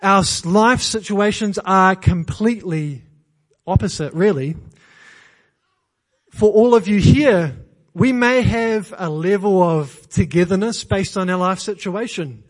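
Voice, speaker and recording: A man with a New Zealand accent preaching from a church pulpit. His voice sits fairly high, and he drives his points home with earnest repetition, lightened by self-deprecating jokes. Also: unhurried. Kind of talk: sermon.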